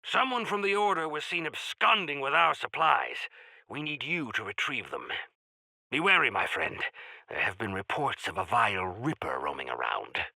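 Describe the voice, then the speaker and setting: A man's voice: medium pitch, big pitch swings, normal speed, vocal fry, pushed and bright, no echo, heavily acted. An actor voicing a genial, pompous male quest-giver in a video game, speaking in grandiloquent, courtly phrases with wry asides. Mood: courtly, approving. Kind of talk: theatrical video game character acting.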